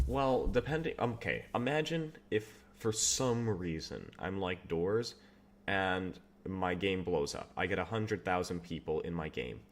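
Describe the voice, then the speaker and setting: A young man chatting casually on a live stream while he works, rambling through viewer questions with self-amused asides. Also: low voice. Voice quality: says he has a loud voice. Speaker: game developer streamer.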